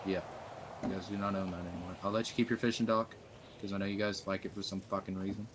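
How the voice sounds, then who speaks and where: A man's voice: deep voice, level tone, fast, background noise. A man in casual in-game roleplay chat, speaking in a blunt, assertive, informal way. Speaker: player in an online zombie survival roleplay.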